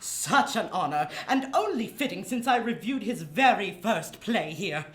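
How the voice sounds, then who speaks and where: A man plays a pompous newspaper critic in old-time radio drama style. His voice sits high and he gushes with affected self-importance. The room around him is echoey. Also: big pitch swings, unhurried. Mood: gushing, self-important. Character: pompous, gushing male newspaper theatre critic. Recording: echoey live studio stage.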